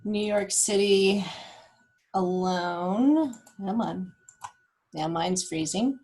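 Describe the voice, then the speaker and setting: A woman presents information in an informal, unscripted way. Her pace is very unhurried and her pitch sits in the middle. She halts and thinks aloud as she works through it. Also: a little mellow. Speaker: guest presenter explaining data; cancer research nurse. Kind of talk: informal data walkthrough.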